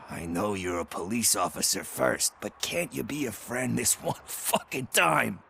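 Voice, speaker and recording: A man playing a desperate, bitter junkie informant in a video game, rambling and pleading with rough language. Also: fairly low pitch, measured pace, sharp tone, a little hiss or hum, heavily acted. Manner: rambling, foul-mouthed, pleading. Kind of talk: video game cutscene acting.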